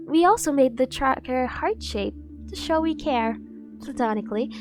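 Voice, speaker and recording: A woman performs a devoted female bodyguard in an ASMR roleplay, her voice pitched high. She coaxes and insists with a professional front that barely hides a dorky obsession. Faint music plays behind her. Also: expressive, normal speed. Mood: insistent. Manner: persuasive, coaxing, insistent.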